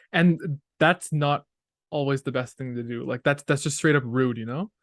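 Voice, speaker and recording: A man's voice: medium pitch, unhurried, dry recording. A young man from Canada, a podcast guest chatting in a laid-back, slangy way. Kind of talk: casual conversation. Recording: dry, close sound.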